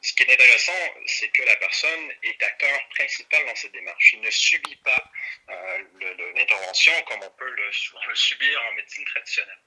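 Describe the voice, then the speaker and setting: A man's voice: mid-range voice, animated, a little fast, pushed and bright, breathy edge, low-quality recording. A middle-aged man from Montreal, a native English speaker with an English Canadian accent, explaining his work as an interview guest. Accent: English Canadian accent in French. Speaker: interview guest, neurofeedback practitioner and trainer.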